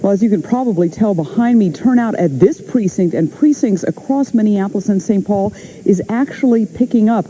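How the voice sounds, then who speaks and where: A woman's voice: medium pitch, mellow, slightly rough, low-quality recording. An American woman giving a scripted TV news report.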